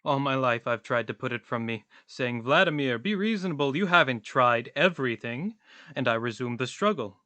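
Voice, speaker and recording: A man's voice: mid-range voice, animated, normal speed, sharp tone, no room sound. A man playing a talkative, philosophical vagrant in a radio play, with a wordy, pompous, theatrical delivery. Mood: musing, hearty.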